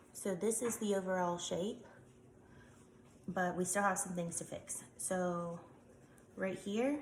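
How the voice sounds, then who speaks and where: A woman's voice: on the low side, creaky. A woman with a congested voice, chatting casually as she demonstrates a craft step by step. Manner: chatty, explains while working with hands, frequent ums. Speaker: craft tutorial maker. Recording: echoey room, faint background noise.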